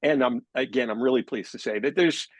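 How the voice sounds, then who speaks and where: Brief: husky man, company director explaining rules on a webinar, talkative, stumbles on the first words of phrases, dry close sound. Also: mid-range voice, brisk, dry recording. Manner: talkative, repeats words when starting phrases. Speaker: company director and guest expert.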